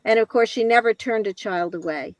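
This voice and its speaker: An older American woman from Connecticut telling her life story off the cuff, reflective and halting, pausing between short phrases. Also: fairly high pitch, no room sound. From Connecticut, northeastern United States. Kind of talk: unscripted personal storytelling.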